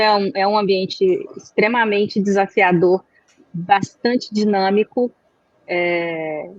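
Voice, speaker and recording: A woman, a guest answering interview questions in a measured, reflective way. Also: medium pitch, no echo. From Minas Gerais, Brazil. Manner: measured, reflective, searching for words. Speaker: guest, chamber of commerce executive. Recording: dry, dull recording.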